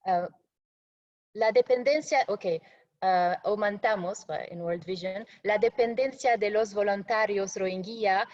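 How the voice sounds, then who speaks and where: Woman, non-native speaker, slow, hesitant slide presenter, dull lo-fi call audio. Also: medium pitch, a little mellow. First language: not Spanish. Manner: halting, frequent fillers and self-corrections. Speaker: webinar presenter.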